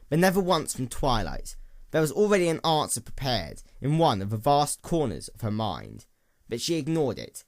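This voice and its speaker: A man's voice: medium pitch, no echo, studio quality. A man reading a dramatic scripted story as its narrator. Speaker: narrator, fan fiction reader.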